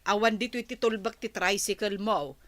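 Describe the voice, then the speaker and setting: Audio drama role: actress as scolding, exasperated woman of the house, Ilocano accent, medium-pitched. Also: steady tone, moderate pace, fairly bright tone, natural voice.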